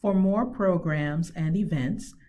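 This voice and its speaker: A woman hosting a preschool storytime, speaking in simple, expressive phrases for young children. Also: lowish voice, slow pace. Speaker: children's librarian and storytime host. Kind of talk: preschool storytime reading and narration.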